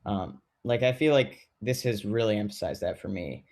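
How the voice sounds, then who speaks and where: A man playing a thoughtful, caring amateur baker in documentary theater, talking casually and haltingly. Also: fairly low pitch, expressive, a little mellow.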